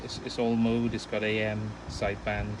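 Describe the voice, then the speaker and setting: A man's voice: fairly low pitch, completely flat delivery, moderate pace, background noise. A man chatting casually and at rambling length as an amateur radio operator. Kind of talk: casual ham radio chat.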